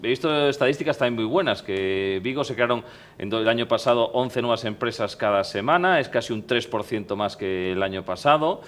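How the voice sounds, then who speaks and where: A man hosting a TV debate, asking questions in a conversational way with quick interjections. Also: mid-range voice, fairly bright tone. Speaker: TV debate host. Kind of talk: moderating a TV debate. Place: TV studio.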